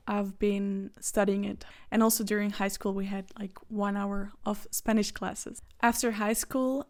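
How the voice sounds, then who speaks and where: A woman's voice: medium pitch, a little mellow. A woman in her twenties from Belgium, a native Dutch speaker with a Belgian Dutch accent, telling her own story to camera in an earnest, easygoing way. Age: 20s. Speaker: vlogger.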